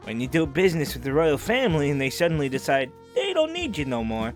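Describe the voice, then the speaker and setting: A man's voice: fairly high pitch, very animated, quick pace, soft background music. A man playing a friendly, teasing crook in prison in an audio drama, talking in a playful, colloquial way. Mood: wry, chatty. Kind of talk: audio drama character acting.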